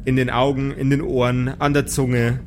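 A man narrating an improvised pen-and-paper audio story as game master, describing scenes haltingly. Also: mid-range voice, little pitch movement, a little hiss or hum.

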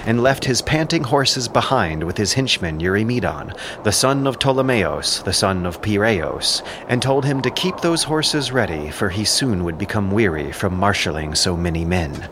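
A man's voice: on the low side, lively intonation, normal speed, few pauses, background noise. A man reading scripted epic narration in a solemn, formal storytelling manner. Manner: solemn, formal literary phrasing. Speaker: narrator of an audio drama. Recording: narration over a music bed. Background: music.

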